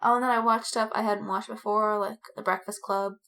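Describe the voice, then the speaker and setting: A woman's voice: mid-range voice, flat delivery, fairly quick, a bit bright. A woman co-hosting a podcast, talking in an informal, enthusiastic and unscripted way. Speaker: podcast co-host. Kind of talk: casual chat about stories and media.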